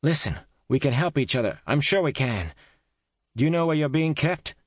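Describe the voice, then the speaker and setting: A man voicing a brave, desperate young woman doctor held captive, shouting and pleading through a wall. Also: a little high, lively intonation, normal speed, no echo, clean studio sound, voice pushed up.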